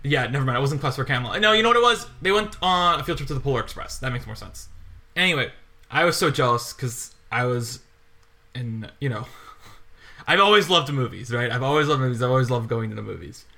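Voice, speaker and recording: A man's voice: medium pitch, animated, fast, sharp tone. A young man in casual podcast chat, telling a scattershot story and laughing often. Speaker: podcast co-host.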